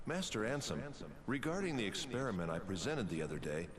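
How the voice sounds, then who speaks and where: A man voicing a deferential but persistent apprentice scientist with formal, measured speech in a video game. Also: lowish voice, sing-song, a little hiss or hum. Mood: deferential, insistent.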